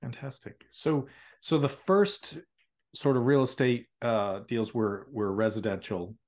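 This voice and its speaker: A man's voice: medium pitch, measured pace, a little mellow. A man hosting a podcast interview, friendly, often repeating words and restarting sentences. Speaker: podcast host.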